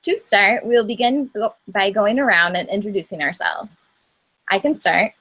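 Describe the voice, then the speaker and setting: A woman from Indiana, a student moderator reading a scripted introduction to a class debate in a steady, careful way with the odd slip. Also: medium pitch, normal speed. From Indiana, USA.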